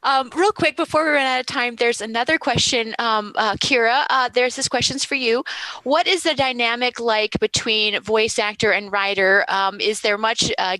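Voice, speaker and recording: Bright, higher-pitched woman moderating a panel. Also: no room sound, low-quality recording. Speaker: panel moderator and game writer. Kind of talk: casual panel conversation.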